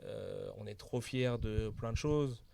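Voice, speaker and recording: A man's voice: lowish voice, slow, creaky, warm tone. A young French man in casual podcast chat as a bookshop co-founder, talking enthusiastically with lots of slang. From France.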